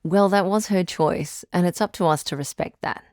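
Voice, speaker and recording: A woman playing a calm doctor who hosts a radio advice show in an audio drama, measured, articulate and reassuring. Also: fairly low pitch, dry recording, studio quality, lightly acted. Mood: measured, supportive. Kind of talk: scripted audio drama as radio call-in host.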